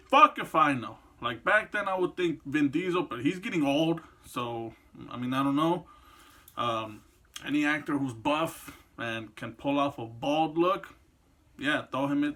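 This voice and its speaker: A man's voice: on the high side, bright, forceful tone. A man in his twenties giving casual, rambling, opinionated commentary on games and films, swearing freely as he goes. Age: 20s. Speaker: video creator and host.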